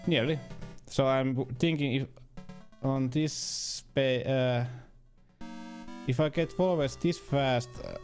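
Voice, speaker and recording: A man with a Finnish accent, a native Finnish speaker, giving casual livestream commentary as he plays, haltingly and searching for words. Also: medium pitch, steady tone, a little mellow. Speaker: video game livestreamer. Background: game music.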